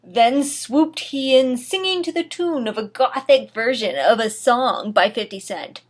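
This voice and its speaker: Woman, higher-pitched voice, unhurried pace, dramatic story reading, emphatic delivery, dry asides. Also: expressive. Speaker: reader of a fan fiction story.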